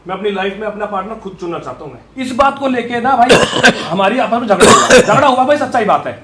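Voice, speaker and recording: A man's voice: high-pitched, expressive, quick pace, echoey room, a crowd in the background. A man giving an unscripted, defensive and accusatory rebuttal, often repeating himself. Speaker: vlogger.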